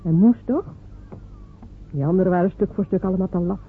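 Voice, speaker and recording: A woman's voice: lowish voice, brisk, faint music behind, close to an everyday voice. A woman playing a proud, loyal fisherman's wife who is waiting for her husband, speaking firmly in a radio play. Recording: narrow phone-like radio recording with faint hiss.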